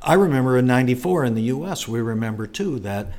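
A man's voice: medium pitch, lively intonation, quick pace, darkish tone. A man hosting a casual sports conversation, asking leading questions and echoing his guest's words.

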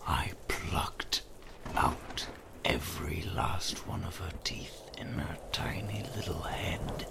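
An actor playing a sinister monster in an audio play, taunting with mock politeness in a creaky, breathy whisper. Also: low-pitched, slow pace, exaggerated voice. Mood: menacing. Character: sinister many-eyed monster lurking in a child's closet. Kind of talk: audio play horror acting.

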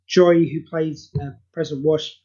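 A man's voice: fairly high pitch, steady tone, normal speed, a little mellow, muffled recording. A man hosting a podcast, chatting casually and self-deprecatingly, rambling with many restarts. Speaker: podcast host and audio play creator.

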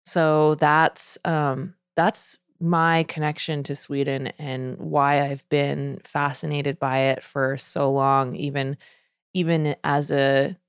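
A woman from the United States, a poet guest on a podcast, chatting casually with quick asides. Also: deep voice, clean tone, dry recording, clean studio sound. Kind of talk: casual conversational chat.

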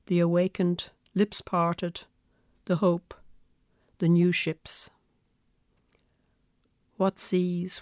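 Woman, Irish accent, gravelly creak, restrained intonation, reflective literary delivery. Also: lowish voice, no echo, clean studio sound. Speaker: poet and guest reader. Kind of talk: measured poetry reading and reflective interview talk.

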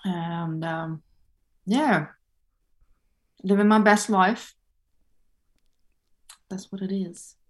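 A woman's voice: medium pitch, fairly even intonation, very slow, long pauses. A woman guest on a podcast, talking in an easygoing, thoughtful way with many fillers. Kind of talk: casual interview chat.

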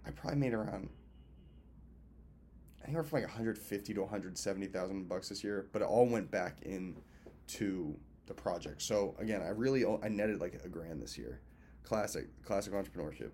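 A man's voice: deep voice, animated, fairly quick, mellow, slight background noise. A man in his twenties from Massachusetts, rambling casually and with plenty of swearing as he talks viewers through a birthday check-in from a hotel. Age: 20s. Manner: loose, profane, talks to viewers as friends. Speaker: filmmaker and event host vlogging.

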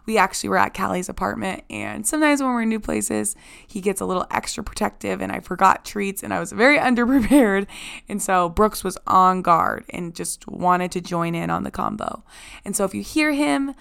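A hoarse woman hosting a podcast, chatting effusively and casually, with lots of "like"s. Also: medium pitch, expressive, normal speed, a bit bright. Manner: chatty, effusive, says "like" a lot. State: hoarse, nearly lost voice. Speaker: podcast host. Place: guest's apartment.

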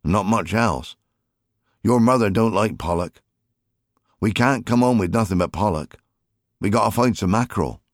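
A man voicing a gruff but fond, worried fisherman father in an audiobook story, grumbling in colloquial, clipped phrases. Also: lowish voice, moderate pace, long pauses, no echo, clean studio sound, pitched above the performer's own voice, close to an everyday voice.

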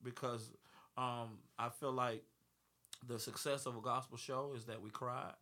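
Brief: man, 30s-40s, recording artist on a panel, rambling and self-correcting, forceful bright tone. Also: medium pitch, flat delivery, unhurried. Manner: rambling, repeats words, laughs easily. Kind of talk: panel interview conversation.

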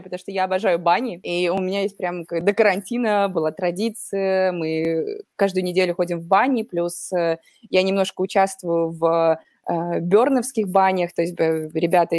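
A woman's voice: lowish voice, a little mellow, no room sound, clean studio sound. A young woman from Ukraine, a podcast guest in casual chat who talks animatedly, laughs often and drops slang.

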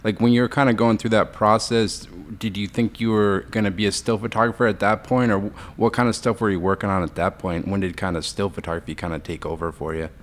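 A man's voice: fairly low pitch, fairly even intonation, brisk, few pauses. A man hosting a podcast, asking questions in a casual, chatty interview style. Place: guest's studio.

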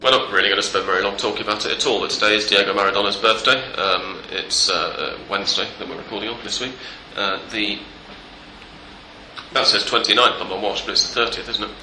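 A congested man hosts a football chat podcast, his fairly low voice bright and forceful with a stuffed-up edge. He rambles easily through fillers and restarts. The living room echoes around him, with faint noise underneath. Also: fast. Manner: relaxed, rambling with many fillers. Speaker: podcast host.

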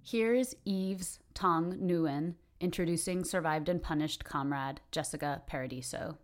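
A woman's voice: low voice, a little slow. A woman reading scripted narration as the host of a podcast. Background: music.

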